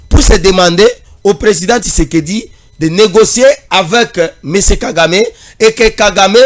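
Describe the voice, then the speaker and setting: A man with a Congolese accent giving emphatic political commentary in long, repetitive phrases. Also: high-pitched. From Democratic Republic of the Congo.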